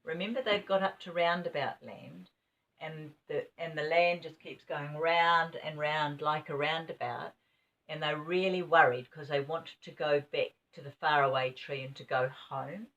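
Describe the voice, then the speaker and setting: A woman's voice: low-pitched, fairly even intonation, normal speed, a bit bright. An older woman reading a children's story expressively, as a grandmother would. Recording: dull, distant home recording.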